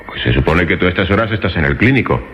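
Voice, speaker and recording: A man's voice: sits low, expressive, quick pace, a little hiss or hum. An actor playing a bewildered, defensive man in a suspense radio drama, earnest and questioning, with a Castilian Spanish accent. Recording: dull radio sound, reverberant, music behind.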